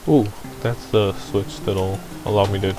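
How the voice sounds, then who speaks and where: A man's voice: on the low side, little pitch movement, a little slow, low-quality recording. A man giving casual video game commentary, thinking aloud in a musing, self-deprecating way. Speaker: gamer, let's play host. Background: video game music.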